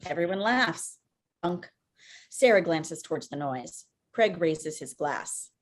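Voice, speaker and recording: A woman narrates a screenplay's stage directions. Her pitch is a little low, and the delivery is scripted reading over a video call. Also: animated, takes it slowly. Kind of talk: scripted narration at a table read.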